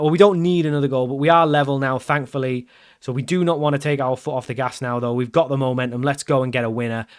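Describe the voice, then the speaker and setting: A man commenting casually over his football management game, getting loud and excited at the big moments. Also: a little high. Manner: excitable, shouts at big chances and goals. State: losing his voice. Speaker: game streamer.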